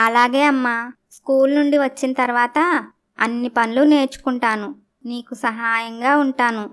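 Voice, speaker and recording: Lady playing a little cartoon schoolgirl, really high smooth voice, childlike. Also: fairly even intonation, normal speed, natural voice. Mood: obedient. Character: young schoolgirl daughter in a family moral tale. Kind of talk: Telugu animated moral story acting.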